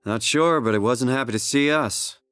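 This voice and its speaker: A man's voice: medium pitch, moderate pace, a bit bright, soft background music, lightly acted. An actor voicing a questioning, earnest everyman hero in a video game, talking in a casual, wry way. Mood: determined. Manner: casual, inquisitive.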